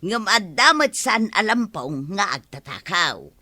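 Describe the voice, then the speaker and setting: A bright, clear-edged Ilocano-accented woman's voice at medium pitch, played by an actress, as a kindly older village matron. She is forgiving and matter-of-fact, in a radio comedy. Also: very animated, unhurried, exaggerated voice. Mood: reasonable. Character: kind-hearted older village woman, generous neighbour.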